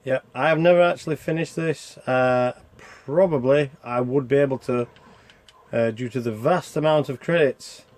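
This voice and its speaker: A man's voice: mid-range voice, moderate pace, a little mellow. A man giving casual, chatty commentary over gameplay, thinking aloud and asking himself questions as a reviewer. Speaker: video game reviewer.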